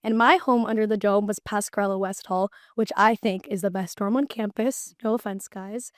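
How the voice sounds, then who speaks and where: A woman's voice: mid-range voice, steady tone, normal speed, no echo, clean studio sound. A woman in her twenties from Hawaii, introducing herself as a student panelist in a friendly, upbeat way over a video call. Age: 20s.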